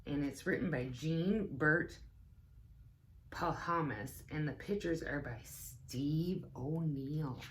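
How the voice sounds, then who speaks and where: A woman reading a picture book aloud to young children as a teaching assistant, with expressive, deliberate phrasing and chatty asides. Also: sits low. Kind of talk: children's story time read-aloud with asides.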